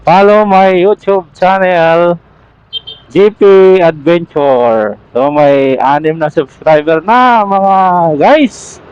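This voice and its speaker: A man with a fairly high voice chats casually for a riding vlog. He takes his time between short remarks. The sound is dull, picked up by a helmet intercom on a motorbike. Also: animated, takes it slowly, darkish tone, clean tone, dry recording. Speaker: motorcycle vlogger. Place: outdoors, riding a motorcycle in traffic.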